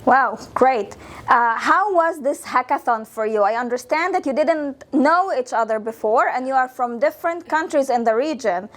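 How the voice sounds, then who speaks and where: High-voiced enthusiastic woman interviewer. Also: lively intonation, normal speed. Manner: enthusiastic, encouraging questions. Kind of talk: event interview.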